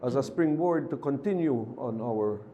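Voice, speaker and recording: A man who is a city mayor, speaking as a TV studio guest in measured, formal terms. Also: medium pitch, expressive, lo-fi sound, slight background noise. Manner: measured, formal civic phrasing. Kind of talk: TV interview guest.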